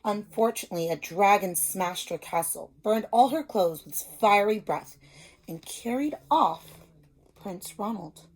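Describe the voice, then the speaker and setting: A woman's voice: medium pitch, expressive, a little slow, a little hiss or hum, rough recording. A woman speaking as a reading teacher, explaining simply and reading a story aloud to children, stressing key words.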